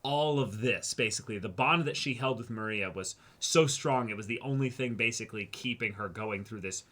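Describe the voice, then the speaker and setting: Male reaction-show co-host, bright and punchy tone, analytical, thinks aloud in long emphatic runs. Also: mid-range voice, moderate pace. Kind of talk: casual analytical discussion.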